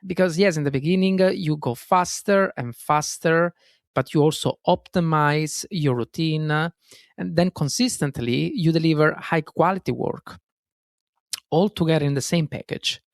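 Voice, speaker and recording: A man's voice: on the high side, normal speed, vocal fry, no room sound, clean studio sound. A man with an Italian accent hosting a self-help podcast on his own, talking earnestly, often restarting phrases and adding fillers. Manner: earnest, self-correcting, frequent fillers and "guys". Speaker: podcast host.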